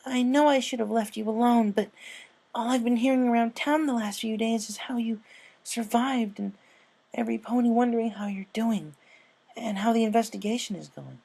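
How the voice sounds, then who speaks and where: A man puts on a little pegasus filly from a storybook, pitched far up. The youngster is earnest and nervous, with halting, stammering speech. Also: gravelly, a little mellow, husky, full character voice. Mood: apologetic, anxious. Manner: hesitant, stammering.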